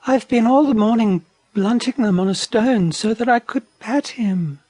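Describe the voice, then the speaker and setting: Man doing a high, soft, gentle lady wallaby. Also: lively intonation, unhurried, dry recording. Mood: gentle, pleading. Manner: soft, sweet, pleading. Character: gentle, soft-voiced female wallaby, eager to please.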